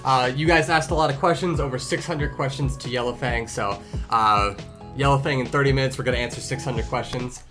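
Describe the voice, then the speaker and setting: A man's voice: mid-range voice, fairly quick, music in the background, lightly acted. A man playing a grumpy, foul-mouthed male medicine cat who hosts an improvised fan question show with sarcastic, needling banter. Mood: teasing. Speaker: host of a character question-and-answer show.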